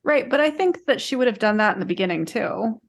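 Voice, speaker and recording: A woman's voice: on the high side, animated, fast talker, vocal fry. A woman co-hosting a book discussion podcast, talking casually, pushing back and carefully qualifying her points. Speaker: podcast co-host.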